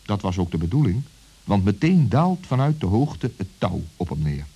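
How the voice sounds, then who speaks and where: A man's voice: fairly low pitch, very animated, normal speed, mellow, slightly rough. A man narrating a scripted children's story as a storyteller.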